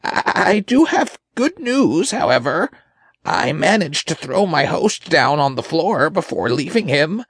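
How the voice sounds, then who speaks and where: A man voicing a frightened, servile demon underling with a high, raspy, creaky voice and a timid, halting manner in an audiobook. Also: very animated, a bit bright, dry recording, exaggerated voice. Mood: servile, uneasy. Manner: timid, halting, whimpering, slow. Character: groveling, frightened male impure spirit, servile demon underling. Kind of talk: dramatic audiobook character voice.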